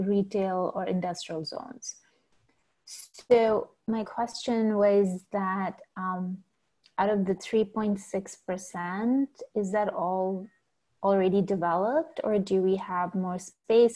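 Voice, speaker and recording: A woman's voice: mid-range voice, darkish tone, low-quality recording. A woman town councilor asking questions at a public meeting over a video call, thinking aloud with fillers like "like" and "um".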